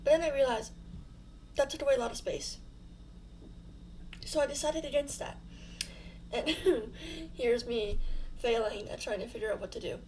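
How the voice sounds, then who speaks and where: A woman's voice: a little high, normal speed, pauses often, darkish tone, a little hiss or hum. A young woman hosting her channel, talking casually over a home build in a rambling, self-deprecating, giggly way, her mouth sore from fresh dental fillings. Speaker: YouTuber host.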